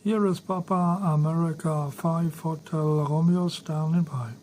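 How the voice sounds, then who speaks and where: Dutch guy doing a ham radio chat, hesitant and polite, bit high pitched, slight background noise. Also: fairly even intonation, unhurried, darkish tone. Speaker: amateur radio operator. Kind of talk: casual ham radio contact.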